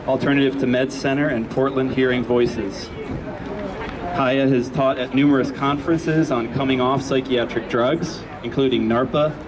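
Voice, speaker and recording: A man's voice: medium pitch, fairly even intonation, normal speed. Man introducing a speaker outdoors. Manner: reads a speaker's bio as a list. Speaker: rally emcee introducing speakers. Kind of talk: event introduction. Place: outdoors on a city street. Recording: distant, noisy street recording. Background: traffic and street noise.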